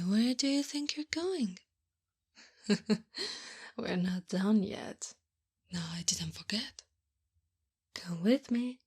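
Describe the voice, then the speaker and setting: A woman playing a doting, teasing, bossy wife who mothers her husband, in a soft, often whispered ASMR roleplay with coaxing pet names and gentle scolding. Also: medium pitch, breathy edge. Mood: teasing, commanding. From Italy.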